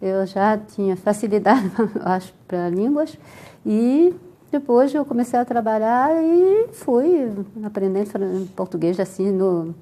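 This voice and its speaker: An older woman from France speaking with a French accent, calmly telling her life story as a guest in a TV interview. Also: mid-range voice, a little slow. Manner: calm storytelling with soft laughs.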